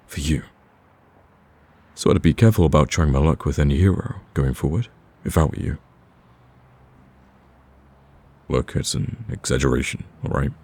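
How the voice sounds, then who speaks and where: A male actor plays a cocky villain hopelessly smitten, wooing in a romantic audio roleplay. His voice is extremely low, dark and husky. He talks in a smooth, teasing way with an earnest, persistent plea underneath. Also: full character voice. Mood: cocky persuading. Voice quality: husky, very deep. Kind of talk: romantic ASMR roleplay acting.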